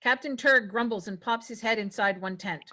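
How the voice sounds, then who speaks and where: A woman's voice: on the high side, normal speed, bright, forceful tone. A woman reading stage directions as the narrator at a script table read.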